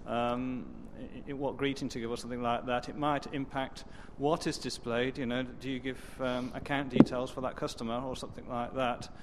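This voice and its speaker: A man's voice: mid-range voice, level tone, normal speed, hardly any pauses, big-room sound, background noise. A man answering a question at a technical meeting, stammering at the starts and working through examples. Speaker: co-author answering from the floor. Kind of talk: open-floor discussion.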